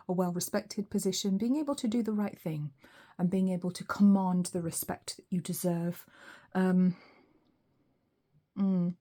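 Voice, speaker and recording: Mellow-voiced woman with a weak, slightly hoarse voice. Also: lowish voice. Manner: musing, thinks aloud with frequent ums. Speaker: tarot reader. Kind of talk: relaxed solo tarot reading.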